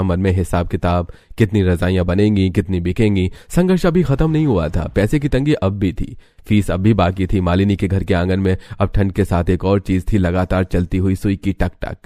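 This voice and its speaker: A man's voice: deep voice, lively intonation, normal speed, few pauses, warm tone, no echo, clean studio sound. A man narrating a scripted cartoon story in a dramatic storytelling style.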